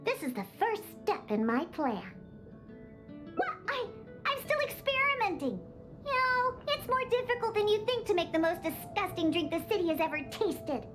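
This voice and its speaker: Very high-pitched feisty cat girl. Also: sing-song, moderate pace, a bit bright, faint music behind, heavily acted. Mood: indignant, determined. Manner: indignant, bossy, cutesy. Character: feisty young cat-girl bartender who despises alcohol. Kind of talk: video game character acting.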